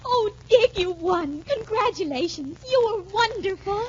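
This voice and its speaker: An actress playing an excitable, anxious young girl in a breathless, exclamatory 1940s radio drama style. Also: very high-pitched, measured pace, a little hiss or hum. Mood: delighted. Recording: muffled vintage radio recording.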